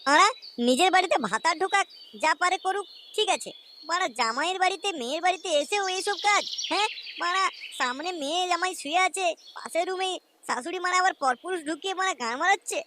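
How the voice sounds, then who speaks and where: A hot-tempered young cartoon husband , acted by a man, rattles out crude, sarcastic complaints at a quick clip. His voice is artificially squeaky and very high, over a noisy track with clicks and birdsong. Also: animated. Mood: angry, crude. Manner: crude, swearing, sarcastic grumbling. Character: foul-mouthed, hot-tempered young husband and son-in-law. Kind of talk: comic cartoon dialogue.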